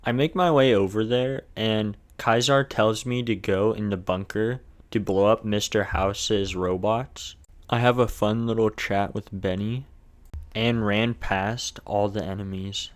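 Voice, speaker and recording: A man with a fairly low voice and a lisp narrates his gameplay. He keeps it casual and scripted, with crude, profane jokes mixed into the recap. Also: fairly even intonation, unhurried. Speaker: gaming video narrator.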